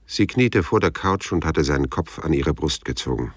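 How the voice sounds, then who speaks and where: A man's voice: extremely low pitch, animated, normal speed, slightly rough, dry recording, full character voice. An actor playing a laconic, probing private detective who narrates his case tersely and matter-of-factly in a German radio crime drama. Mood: detached narrating. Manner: dry, matter-of-fact, terse.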